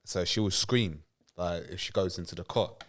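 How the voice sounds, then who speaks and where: A young man talks casually on a podcast. His voice sits very low, and he fills his sentences with hesitant "um"s and restarts. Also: normal speed. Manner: hesitant, lots of fillers, breaks into laughter. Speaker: podcast co-host. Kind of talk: casual chat.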